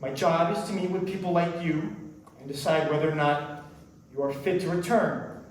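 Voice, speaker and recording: A man's voice: a little high, moderate pace, a little hiss or hum, lightly acted. Heard from off the mic in a very echoey hall, a lonely afterlife official played by a man talks in a stage drama. He is conflicted, trying to be blunt but faltering and repeating himself. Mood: measured, blunt. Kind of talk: staged play reading. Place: indoor performance space before an audience. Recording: echoey hall, distant microphone.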